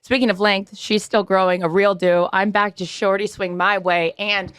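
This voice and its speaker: A woman co-hosting a podcast in casual chat, her voice hoarse, teasing and playful. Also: mid-range voice, steady tone, normal speed, fairly bright tone, dry recording. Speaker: podcast co-host.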